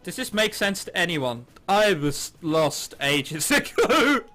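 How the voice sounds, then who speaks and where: A man's voice: high voice, very animated, takes it slowly, bright, forceful tone. A man reading a visual novel aloud as a gaming host, with amused, sarcastic, sweary asides, over game soundtrack music. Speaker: host of a gaming video series.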